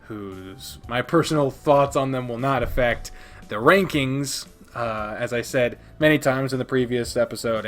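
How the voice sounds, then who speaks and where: A man hosting a casual countdown video, talking informally and emphatically with plenty of swearing. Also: medium pitch. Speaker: video host, visual novel reviewer. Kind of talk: casual scripted top-ten countdown commentary.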